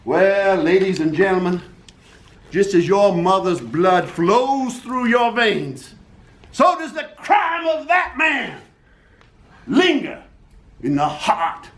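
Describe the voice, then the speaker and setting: A melodramatic courtroom lawyer, as a man plays him pleads in a high, swooping voice. He speaks slowly and theatrically. The reading is heard in an echoey room with faint noise. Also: big pitch swings, fairly bright tone, heavily acted. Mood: impassioned. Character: theatrical male Southern lawyer pleading dramatically. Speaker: defense attorney Mister Lee. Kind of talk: amateur radio play reading.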